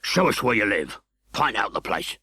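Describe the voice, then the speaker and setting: Harsh, rasping English convict. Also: male voice, lowish voice, sing-song, moderate pace, pushed and bright, dry recording, studio quality, exaggerated voice. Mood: fierce. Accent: English working-class dialect. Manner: threatening, growling, uneducated speech. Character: menacing, rough escaped convict in a graveyard. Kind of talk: audiobook character acting.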